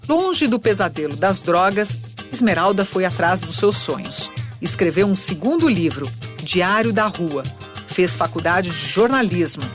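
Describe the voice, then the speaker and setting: Measured woman narrator over music. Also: on the low side. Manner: even, measured read. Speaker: documentary narrator, interviewer. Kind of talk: scripted documentary narration. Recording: music bed underneath.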